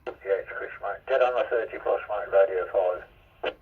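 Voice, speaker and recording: A man's voice: deep voice, normal speed, slightly rough. A man chatting casually over a CB radio transmission, muffled and narrow in band. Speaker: radio contact.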